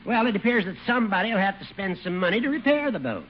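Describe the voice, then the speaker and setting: An actor playing a dignified, fussy club member with a measured, formal way of talking in a vintage radio sitcom. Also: male voice, high voice, very animated. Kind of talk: 1940s-50s radio sitcom acting. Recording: hissy, muffled old radio broadcast.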